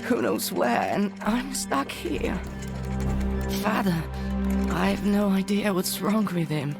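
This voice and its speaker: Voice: mid-range voice, takes it slowly, creaky, pitched above the performer's own voice. An actor playing a stranded, distressed young boy in an adventure game, with anguished delivery. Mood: despairing. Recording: loud music over the voice.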